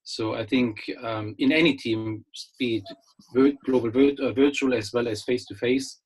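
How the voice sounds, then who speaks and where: A middle-aged man with a German accent gives a relaxed webinar talk through a computer mic. His voice is slightly husky and sits at an ordinary pitch. He restarts sentences often and fills pauses as he goes. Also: low-quality recording. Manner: hesitant, many fillers and restarts, friendly. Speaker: guest speaker, business lecturer. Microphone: computer mic on a video call.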